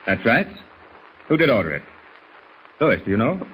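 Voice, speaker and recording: An actor playing a stern naval base commander in a 1940s radio serial, brisk, clipped and authoritative. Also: male voice, mid-range voice, sing-song, fairly bright tone. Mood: indignant. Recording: slightly noisy 1940s radio recording.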